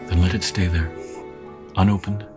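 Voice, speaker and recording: An actor playing a gentle, remorseful Victorian husband in a radio drama, speaking tenderly and solemnly. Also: male voice, low voice, fairly even intonation, normal speed, over music, lightly acted.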